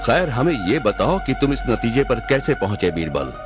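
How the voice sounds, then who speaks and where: A man's voice: mid-range voice, very animated, moderate pace, lightly acted. A man voicing a stately Mughal emperor in a cartoon, regal and commanding, stern but fair. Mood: regal, expectant. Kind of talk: Hindi cartoon voice acting. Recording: music behind the voice.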